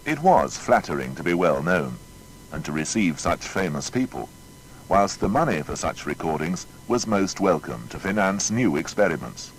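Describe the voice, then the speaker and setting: A man's voice: deep voice, big pitch swings, moderate pace, slight background noise, rough recording. A man narrating a scripted biography in a dramatic, rhetorical manner.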